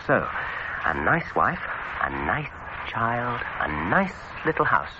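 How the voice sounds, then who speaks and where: An actor playing an unsettling dentist in a suspense radio drama, with an English accent and an unhurried, falsely soothing manner. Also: male voice, medium pitch, huge pitch swings, dull audio. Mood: insinuating. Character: unsettling, probing male dentist with smooth menace. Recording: noisy, band-limited old radio recording.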